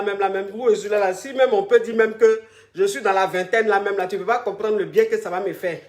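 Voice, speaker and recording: Effusive man in his forties. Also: high-pitched. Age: 40s. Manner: effusive, repeats phrases, tags many sentences with a filler word. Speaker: relationship coach. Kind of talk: casual live stream to followers.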